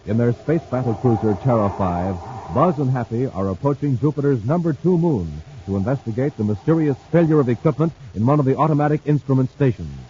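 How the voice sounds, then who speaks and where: A man's voice: mid-range voice, animated, brisk, few pauses, dark tone. A man announcing and reading sponsor ads for a 1950s radio serial in a showman-like, exclamatory way. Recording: muffled old radio transcription with faint hiss.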